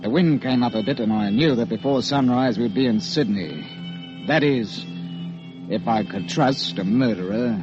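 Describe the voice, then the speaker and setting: Man acting a gruff sea captain, tense, suspicious, blunt, old-time radio drama, dull muffled broadcast, clear music behind. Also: medium pitch, unhurried, close to an everyday voice. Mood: grim, suspenseful. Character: gruff, wary sea captain narrating his tense tale. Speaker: actor and narrator in a radio drama.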